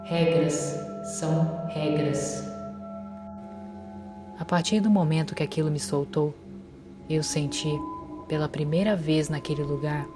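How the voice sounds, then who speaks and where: Low-voiced woman narrating horror. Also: moderate pace. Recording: music bed behind voice.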